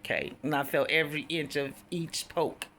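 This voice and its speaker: A woman with a deep, raspy voice, hoarse while healing, telling a personal story in a casual, rambling way with many fillers. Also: fairly even intonation, normal speed, a bit bright, a little hiss or hum. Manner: rambling, frequent fillers and restarts. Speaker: vlogger.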